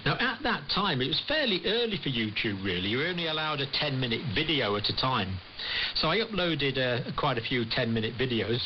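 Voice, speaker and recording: A man's voice: medium pitch, very animated, brisk. A man, explaining his work in an interview. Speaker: interviewee, retired nurse lecturer. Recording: muffled shortwave radio, faint hiss.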